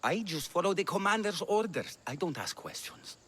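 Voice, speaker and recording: An actor playing a nervous, obedient low-ranking soldier in a video game cutscene, with a meek manner. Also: male voice, lively intonation, normal speed, a bit bright, a little hiss or hum. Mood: defensive, meek.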